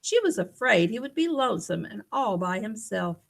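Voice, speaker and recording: A woman reading a children's picture book aloud in an expressive storytelling manner, speaking directly to young listeners. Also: mid-range voice, normal speed, vocal fry.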